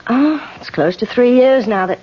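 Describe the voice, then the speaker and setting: A woman's voice: on the high side, animated, normal speed, breathy edge. An actress playing a guarded, respectable widowed landlady in a 1970s radio drama, starting hesitantly and then turning prim and firm. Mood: polite, flustered. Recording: dull, hissy 1970s radio broadcast recording.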